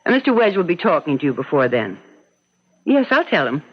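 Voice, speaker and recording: Lively, polite office secretary. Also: female voice, low voice, fairly quick, heavily acted. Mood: businesslike. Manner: crisp, courteous, professional. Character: brisk, polite female law office secretary, protective of boss. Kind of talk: old-time radio drama acting. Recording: dull lo-fi old radio recording.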